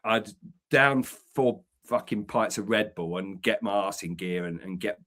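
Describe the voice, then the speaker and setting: A man's voice: fairly low pitch, a little slow, a bit bright. An English man co-hosting a podcast from an upstairs room at home, earnest and emphatic, swearing to drive points home. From England. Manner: emphatic, swears for emphasis, repeats words when pressing a point. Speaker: podcast co-host, men's community founder. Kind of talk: earnest conversational podcast talk.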